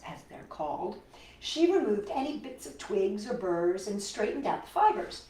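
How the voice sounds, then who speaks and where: Lady doing kids storytime, super animated, asks little questions as she reads, kinda far from the mic and echoey. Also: a little high. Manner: expressive, childlike wonder, rhetorical questions to listeners. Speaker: children's storytime host. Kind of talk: reading a picture book aloud to children with chatty asides. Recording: roomy echo, distant microphone.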